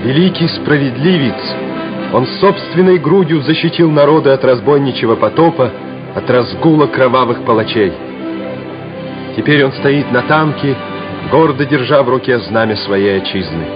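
A man narrating a Soviet war radio play in a measured, solemn, declamatory manner. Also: medium pitch, close to an everyday voice. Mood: exultant, proud. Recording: dull vintage radio play recording, loud music. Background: music.

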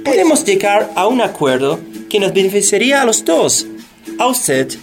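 A woman's voice: mid-range voice, big pitch swings, moderate pace, over music. Pushy, swooping envoy, acted by a woman. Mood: cool menace. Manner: insistent, patronizing, drops in English words. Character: pushy, condescending American mining envoy pressuring a president. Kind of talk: radio theatre acting.

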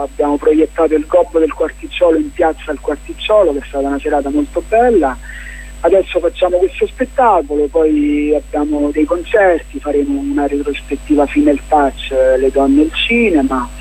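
A man with a husky voice, speaking as a guest in a casual radio interview, explaining his projects in a hesitant, rambling way. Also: a little high, moderate pace, warm tone, rough recording. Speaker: playwright and documentary filmmaker, interview guest.

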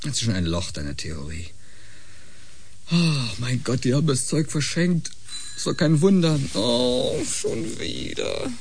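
An actor in a German radio play as a sleepy, sceptical husband, weary and dismissive with a dry wit. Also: male voice, on the high side, sing-song, measured pace, gravelly, darkish tone, a little hiss or hum, exaggerated voice.

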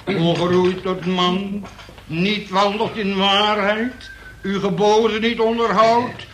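Through heavy noise on an old radio play, a zealous male preacher declaims in a high voice. He is pompous and solemn, drawing out every phrase of his wrathful prayers. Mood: solemn pleading. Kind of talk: radio play acting, chanted sermon-like prayer.

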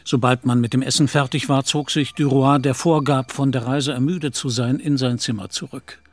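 A man narrating a literary radio play in a measured storytelling manner. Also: medium pitch, steady tone, no room sound.

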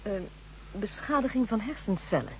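A woman's voice: mid-range voice, big pitch swings, a little hiss or hum, natural voice. An actress playing a composed, precise female neurologist in a Dutch radio drama, formal and coolly sceptical. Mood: clinical. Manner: formal, measured, explanatory. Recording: muffled, humming old radio recording.

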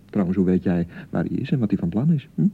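A man acting an excitable young newlywed husband in a radio drama, by turns blustering and awkwardly pleading. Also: deep voice, fast talker, gravelly, slightly rough, narrow, tinny audio, exaggerated voice. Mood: jealous, indignant. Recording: thin, band-limited 1990 radio broadcast.

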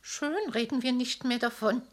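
A woman's voice: a little high, normal speed, lightly acted. An actress playing a nosy, self-righteous neighbor woman in a radio play, indignant and theatrical. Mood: gossiping.

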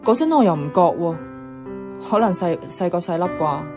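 A woman's voice: fairly low pitch, big pitch swings, a little fast. A woman acting a nostalgic, stubborn character in a Cantonese radio drama, wistful yet matter-of-fact, with a Hong Kong accent. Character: nostalgic, stubborn woman attached to childhood housing estate. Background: guitar music.

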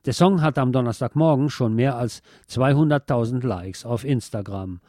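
A man presents a scripted commentary in a soft, mellow voice. The sound is very dry and close, with the relaxed tone carrying the reading. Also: medium pitch, normal speed, no room sound. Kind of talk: scripted political and music commentary.

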